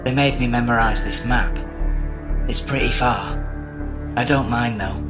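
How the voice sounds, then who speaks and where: Man acting naive delivery worker, mid-pitched, casual, unassuming, audio drama, clear music behind. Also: steady tone, a little slow, close to an everyday voice. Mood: casual, trusting. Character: naive, easygoing young male delivery worker. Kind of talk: horror audio drama dialogue.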